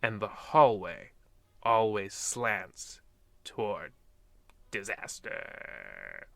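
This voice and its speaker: A man's voice: on the low side, wildly swooping pitch, drawn-out words, gravelly, exaggerated voice. A man playing an ominous, wry old-time radio horror host, theatrical and portentous with dry jokes. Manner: theatrical, portentous, dryly joking. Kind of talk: 1950s radio anthology host parody.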